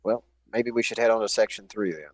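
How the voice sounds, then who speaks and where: A man playing a polite, measured character in an online tabletop roleplay session. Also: fairly low pitch, a little mellow, no echo. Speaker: player.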